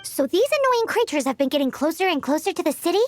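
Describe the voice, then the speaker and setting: A woman voicing a tiny, cheeky, childlike fairy companion in a video game, with a squeaky voice and a blunt, excitable manner. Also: quick pace. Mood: curious. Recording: music behind the voice.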